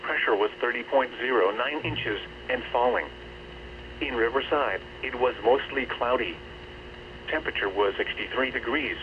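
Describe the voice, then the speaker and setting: A synthetic man's voice reading a scripted weather bulletin in a formal, measured manner over radio. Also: mid-range voice. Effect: radio transmission. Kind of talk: scripted weather forecast and observations. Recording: noisy, dull weather radio reception.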